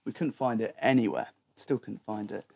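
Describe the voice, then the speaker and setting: A man's voice: on the low side, fairly even intonation, normal speed, vocal fry, a little mellow. A young adult British man telling an anecdote in a casual, slangy way, quoting his own thoughts as he goes.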